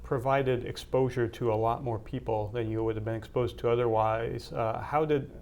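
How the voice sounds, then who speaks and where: A man's voice: mid-range voice, steady tone, normal speed, a little mellow, slight background noise. A man conducting a formal interview, asking polite questions with frequent hesitations. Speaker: interviewer, university leader.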